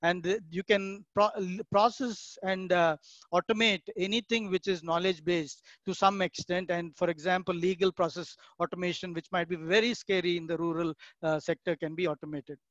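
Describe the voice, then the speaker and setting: A man giving an online keynote talk, measured and explanatory, with frequent "uh" pauses. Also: sits high, steady tone, fairly bright tone, no echo, highs cut off. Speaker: keynote speaker.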